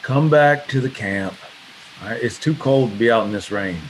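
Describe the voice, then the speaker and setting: A man's voice: mid-range voice, normal speed, natural voice. Man playing a coaxing male survivor, faint hiss. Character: hurt, persistent survivor pining for friend's wife. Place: video call. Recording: noisy online video call.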